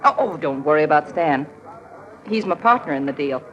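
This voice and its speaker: Scheming woman, very animated pitch. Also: a little fast, muffled recording. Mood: coaxing, conspiratorial. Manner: gushing, coaxing, breezily insistent. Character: scheming, flirtatious woman luring a mark. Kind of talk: 1950s radio acting.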